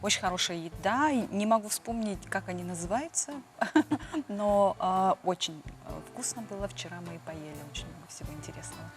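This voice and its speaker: A woman interpreting live in a TV studio, relaying a guest's words in a quick, chatty manner. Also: mid-range voice, faint music behind. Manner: quick, chatty, laughing between phrases.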